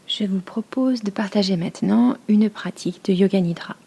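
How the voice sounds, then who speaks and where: Soft, breathy woman guiding meditation. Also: mid-range voice. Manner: slow, hushed, soothing instruction with long pauses. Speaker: yoga nidra teacher. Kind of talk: guided meditation.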